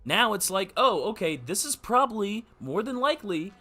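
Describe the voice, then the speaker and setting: A man's voice: high-pitched, big pitch swings, normal speed, pushed and bright. A man giving casual, opinionated football talk, emphatic and slangy, arguing his point with rhetorical questions. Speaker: sports podcast commentator. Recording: music behind the voice. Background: music.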